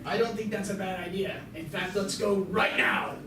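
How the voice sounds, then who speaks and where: A woman's voice: mid-range voice, steady tone, moderate pace, natural voice. A woman voicing a bumbling, self-important male king of a space empire in an amateur comic puppet show. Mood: flustered. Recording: echoey distant recording with clear noise.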